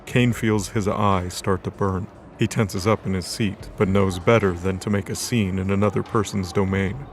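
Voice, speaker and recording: A man's voice: deep voice, fairly even intonation, normal speed, noisy background. A man reading scripted narration for a light novel audio drama. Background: background music.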